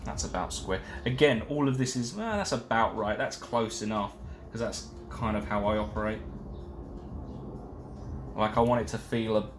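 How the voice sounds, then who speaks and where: A man with a lowish voice chats away while he paints and glues at his workbench. He rambles from one aside to the next and pokes fun at himself. Faint music plays behind him. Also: normal speed. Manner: rambling, self-deprecating, talks while working. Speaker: streamer building a case. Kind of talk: casual live-stream chat while crafting.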